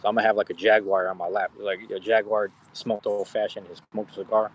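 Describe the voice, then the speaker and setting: A man's voice: lowish voice, flat delivery, fairly quick, rough recording. A man in his thirties chatting casually with his viewers in a slangy, profane, rambling way. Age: 30s. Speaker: streamer. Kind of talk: casual live-stream chat with viewers.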